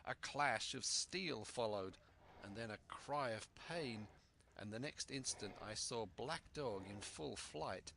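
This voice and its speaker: A man reading a story aloud as an expressive narrator. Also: mid-range voice, vocal fry, a little hiss or hum. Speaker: storyteller, audiobook reader.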